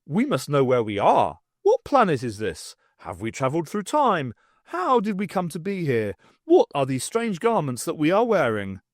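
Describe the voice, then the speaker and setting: A man's voice: on the high side, huge pitch swings, normal speed, no room sound. Guy doing a bossy alien master, clipped and pedantic, sci-fi storybook villain, close dry sound. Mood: demanding. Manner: formal, clipped, pedantic, commanding. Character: pompous male alien leader with face tentacles.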